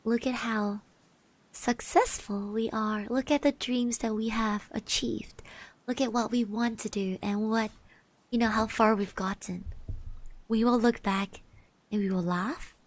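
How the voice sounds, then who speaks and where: A woman speaking softly in an ASMR roleplay, gently reassuring the listener, musing with pauses and little hums, close to the microphone. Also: fairly high pitch, fairly even intonation. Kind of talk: soft-spoken ASMR roleplay, personal encouragement. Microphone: close microphone, dry.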